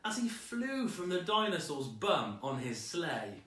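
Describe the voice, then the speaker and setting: A man's voice: on the high side, very animated, unhurried, a bit bright. A man reading a children's storybook aloud with dramatic, rhythmic delivery, recorded at a distance in an echoey room.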